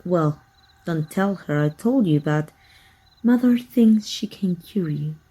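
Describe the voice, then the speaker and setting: A woman from Mexico playing a sly, taunting, manipulative female vampire hunter and estranged sister in an ASMR roleplay, speaking with deliberate, mock-sweet menace. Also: fairly low pitch, expressive, mellow, slightly rough, full character voice, rough recording. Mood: coaxing.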